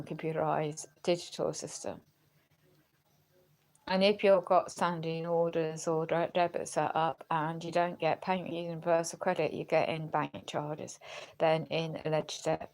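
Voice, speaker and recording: A woman livestreaming in a rambling, halting way, earnest and questioning, her voice going as if she is unwell. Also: low-pitched, a little mellow, rough recording. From United Kingdom. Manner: halting, searching for words. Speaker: live streamer. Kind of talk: rambling personal livestream talk.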